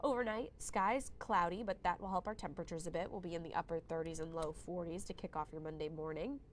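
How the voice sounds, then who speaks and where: A young adult woman meteorologist giving a friendly, conversational live weather forecast while fighting a cold, now and then clearing her throat. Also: fairly low pitch, fairly even intonation, fast, slight background noise. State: has a cold, slightly hoarse.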